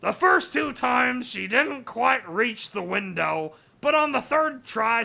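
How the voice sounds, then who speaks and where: A man putting on a raspy, strained, grating comic narrator voice to read a story in a mock-dramatic way. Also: high voice, pushed and bright, exaggerated voice. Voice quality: raspy, gravelly. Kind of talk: mock-dramatic reading of fan fiction.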